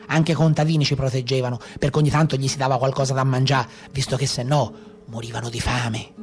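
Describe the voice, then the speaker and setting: A man's voice: medium pitch, little pitch movement, fast, close to an everyday voice. Actor as a Tuscan brigand telling his tale. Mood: matter-of-fact. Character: rough Tuscan peasant brigand of the Maremma, recounting his life. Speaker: stage actor. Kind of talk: theatrical monologue storytelling. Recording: live guitar music behind.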